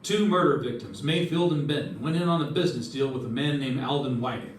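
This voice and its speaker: Hard-boiled detective , male actor: middle pitch, clipped and probing, old-time radio style, echoey sound. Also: brisk, lightly acted. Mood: pressing. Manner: terse, pointed. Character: hard-boiled male investigator narrating and questioning suspects. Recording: echoey room, distant mic, music.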